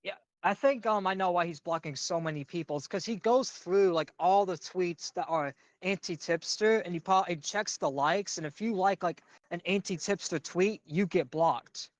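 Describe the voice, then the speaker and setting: A loud young man chatting on a reaction stream, rambling with plenty of filler words. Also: high-pitched, normal speed, hardly any pauses, no echo. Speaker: commentary streamer. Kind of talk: casual reaction chat.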